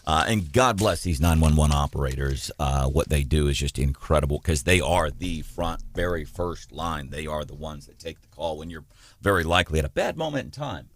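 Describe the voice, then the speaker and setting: A man hosting a morning radio show, chatting casually and reacting with lively exclamations from a studio. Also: a bass voice, normal speed, a little hiss or hum. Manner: animated, exclaims and laughs at quotes. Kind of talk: casual talk radio chat.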